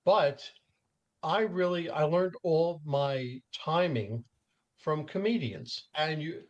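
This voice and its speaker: A man's voice: fairly high pitch, slow pace, muffled recording. A man hosting an interview podcast, asking open questions and affirming his guest's points. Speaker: host, professional speaker. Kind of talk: conversational interview.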